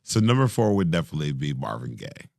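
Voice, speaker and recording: A man in his forties with a deep voice, a singer talking as a podcast guest in casual chat, animated, laughing and often restarting his sentences. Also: fast, creaky, no echo, clean studio sound.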